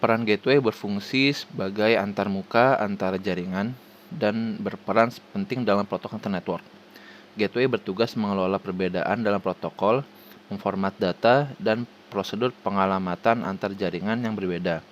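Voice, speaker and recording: A man with an Indonesian accent reading a formal scripted presentation haltingly, stumbling over words and restarting them. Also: fairly low pitch, slight background noise. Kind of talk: scripted presentation read aloud.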